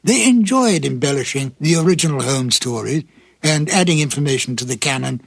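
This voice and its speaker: A man hosting a program with scripted narration, dramatic and deliberate like a storyteller, in a slightly husky voice. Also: on the high side, expressive, no echo. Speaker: host introducing old radio episodes.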